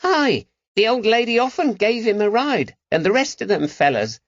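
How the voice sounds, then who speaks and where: High-pitched cheeky street urchin boy. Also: big pitch swings, normal speed, dry recording, lo-fi sound. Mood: eager. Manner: colloquial street slang, bargaining. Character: cheeky young street urchin boy, road sweeper, eager.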